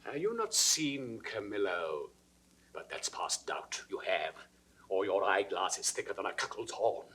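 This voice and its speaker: A man's voice: on the low side, sing-song, measured pace, bright, forceful tone, full character voice. An actor playing a jealous, suspicious king with a British accent, in theatrical classical Shakespearean style for an audio drama. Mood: cold accusation. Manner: theatrical, crisply declaimed, dropping into whispers. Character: jealous, suspicious king brooding over his wife's imagined betrayal. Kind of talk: classical Shakespearean stage acting, 1960s audio recording.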